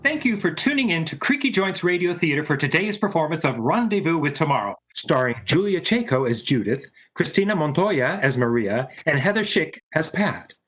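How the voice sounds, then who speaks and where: A man's voice: fairly high pitch, big pitch swings, brisk, few pauses, fairly bright tone. A man hosting a radio theater show, reading a scripted announcer sign-off.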